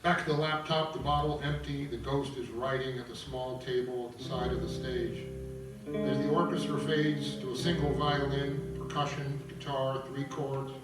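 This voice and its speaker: A man's voice: medium pitch, fairly even intonation, moderate pace. Man presenting in an echoey room, far off. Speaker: presenter and narrator of an opera table read. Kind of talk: live introduction and reading of stage directions. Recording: echoey room, far mic, guitar behind. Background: guitar music.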